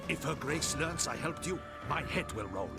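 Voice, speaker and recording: A dutiful guard captain. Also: male voice, fairly high pitch, lively intonation, normal speed. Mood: grave. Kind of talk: video game cutscene dialogue. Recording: game audio with loud music.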